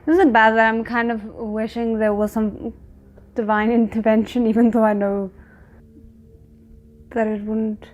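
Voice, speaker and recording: High-voiced woman musing in casual reaction commentary. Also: flat delivery, measured pace, pauses often. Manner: musing, thinking aloud in hesitant fragments. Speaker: reaction host commenting on a drama episode.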